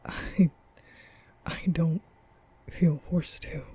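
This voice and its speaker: A man playing a nervous, gentle young vampire in an intimate ASMR roleplay, speaking hesitantly in a breathy half-whisper. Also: fairly high pitch, level tone, heavily acted. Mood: earnest, flustered. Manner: hesitant, stammering, slow.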